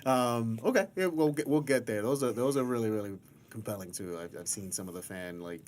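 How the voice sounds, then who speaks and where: A man hosting a casual interview, bantering and asking questions in a rambling, halting way. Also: lowish voice, darkish tone. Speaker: interviewer, host.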